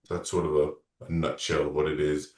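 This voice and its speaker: A man's voice: a bass voice, fairly quick, roomy sound, recorded from a distance. A man in his thirties, a real estate coach, talking casually and rambling a little through a sales consultation on a video call. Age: 30s. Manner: relaxed, rambling, self-correcting.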